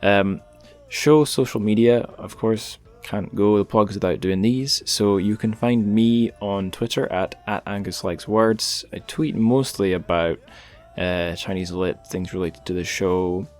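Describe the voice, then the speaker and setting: A man hosting a podcast, talking in a relaxed, rambling way with light jokes. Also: on the low side, a little slow. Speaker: podcast host. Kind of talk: casual podcast chat and plugs.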